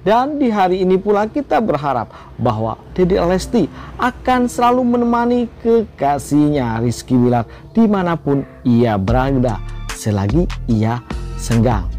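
A man's voice: on the high side, a little mellow. Sing-song gossip-channel narrator man. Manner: sing-song, swooping emphasis on single words. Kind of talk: scripted celebrity gossip voice-over. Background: faint background music.

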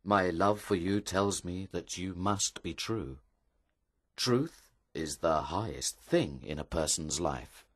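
A man as a slow, husky, solemn noble husband. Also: sits low, voice pushed down. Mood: tender, grave.

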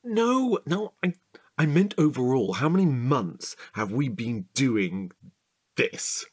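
A man acting a chatty, curious night-watch guard in a naturalistic audio drama, husky and halting in a playful way. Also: medium pitch, sing-song, dry recording, exaggerated voice. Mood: restless, wondering.

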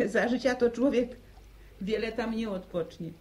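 A woman's voice: on the high side, a little mellow. An actress playing a tired, practical middle-aged farm mother who sighs and grumbles, in a vintage radio drama. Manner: sighing, complaining, brisk. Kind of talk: 1950s radio drama acting.

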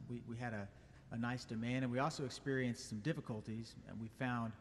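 A young man answers questions calmly and thoughtfully at a stand mic in a church sanctuary. His voice sits at a middle pitch, and the church carries a long reverb behind it. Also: a little hiss or hum. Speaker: guest, business owner and band leader. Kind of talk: interview answers.

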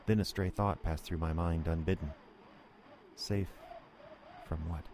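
A man's voice: low-pitched, fairly even intonation, unhurried. An actor playing an uneasy working man who narrates his own snowbound horror story in a dramatic, brooding way. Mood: brooding dread. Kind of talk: first-person radio horror narration. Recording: noisy storm ambience under the voice.

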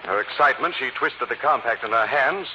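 A suave, assured gentleman sleuth of an old radio mystery, played by a man, explaining articulately at a medium pitch. The recording is dull and noisy. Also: fast talker, natural voice. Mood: explanatory. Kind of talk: 1940s radio acting.

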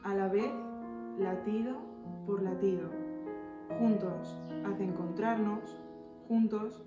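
A woman's voice: mid-range voice, fairly even intonation, slow, highs cut off. A woman reciting love poetry in a recital style. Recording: echoey room, loud piano backing.

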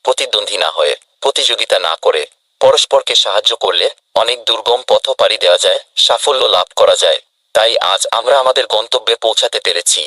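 A man voicing a helpful, brisk rabbit in a children's cartoon, on a noisy track. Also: lowish voice, lively intonation, bright, forceful tone, no echo. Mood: earnest, relieved.

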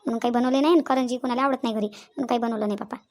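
A woman's voice: fairly high pitch, steady tone, very fast, a little mellow, natural voice. A woman voicing a chatty old village neighbour in a comedy cartoon, rambling in a rural Vidarbha Marathi accent. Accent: rural Maharashtrian, Vidarbha.

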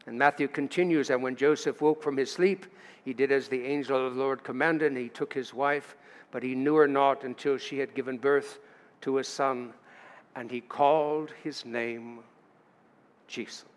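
A man's voice: medium pitch, fairly even intonation, moderate pace. An older man, a pastor, delivering a sermon at a lectern in a church sanctuary, reading scripture aloud and adding storytelling asides. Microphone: lectern mic. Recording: church livestream with long reverb.

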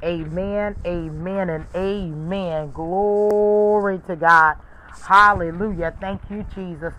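A man leading fervent charismatic prayer as a preacher, forceful and repeating exclamations of praise. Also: high-pitched, steady tone, slow, a little hiss or hum, low-quality recording.

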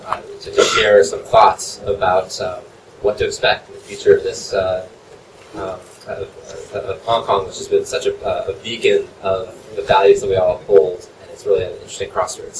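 A man with a lowish voice introduces the next speaker, hesitating and restarting often. He sounds far from the mic, and his voice echoes through a big banquet hall. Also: slight background noise. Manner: halting, many fillers and restarts. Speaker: event host introducing the speaker. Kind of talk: introductory remarks. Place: large hotel banquet room. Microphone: handheld mic at a podium, filmed from afar.

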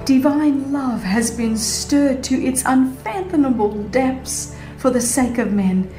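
A woman reading a religious book as a dramatized audiobook, with an earnest, measured and formal delivery. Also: high-pitched, animated, warm tone. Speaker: audiobook narrator. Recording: roomy reverb, faint background music. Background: faint music.

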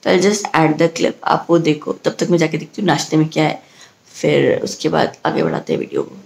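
A woman's voice: low-pitched, big pitch swings, brisk, vocal fry, darkish tone. A woman talking casually to camera as a travel vlogger, chatty and switching back and forth between Hindi and English. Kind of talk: casual vlog talk to camera. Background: background music.

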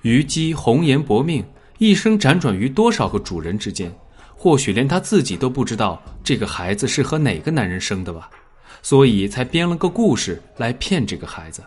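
A man narrating a scripted dramatic story in an expressive storytelling manner. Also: medium pitch, moderate pace, slightly rough. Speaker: audiobook narrator.

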